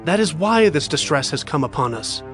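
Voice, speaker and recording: A man's voice: a little high, very animated, normal speed, fairly bright tone. A man playing an anxious brother who pleads earnestly in a dramatized scripture reading, over faint music. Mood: pleading.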